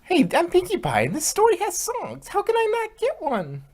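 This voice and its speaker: A woman voicing a hyper, cheerful young pony mare with a squeaky, bouncy, singsong delivery in a fan fiction audio drama. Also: wildly swooping pitch, moderate pace, darkish tone, full character voice. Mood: perky indignation.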